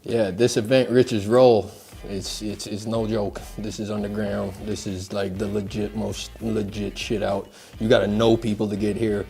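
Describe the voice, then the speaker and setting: A man's voice: on the low side, flat delivery, normal speed, vocal fry, hardly any pauses, dark tone, soft background music. A man from the US, a skier, talking brash and loose. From Las Vegas, USA. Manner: brash, swearing. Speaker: athlete.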